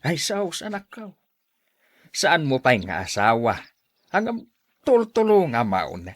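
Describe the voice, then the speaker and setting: An actor playing an older farmer father, questioning and doubtful of his son, with drawn-out delivery in a radio drama. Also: medium pitch, wildly swooping pitch, dry recording, studio quality, heavily acted.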